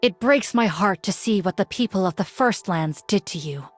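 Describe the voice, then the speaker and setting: A woman's voice: medium pitch, expressive, close to an everyday voice. Actress as a solemn warrior woman, music underneath. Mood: solemn, admonishing. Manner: formal, grave, measured declarations. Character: solemn wise warrior woman, stern, sorrowful guardian. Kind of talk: video game character voice lines.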